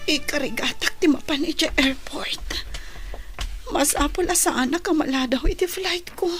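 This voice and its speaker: A woman's voice: high voice, music in the background, lightly acted. A woman in a radio drama playing a sick, weary daughter who talks in a plaintive, pained way. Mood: pained, distressed. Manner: slow, plaintive, pained delivery. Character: sick, weary daughter flying home from working abroad. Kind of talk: radio drama acting in Ilocano.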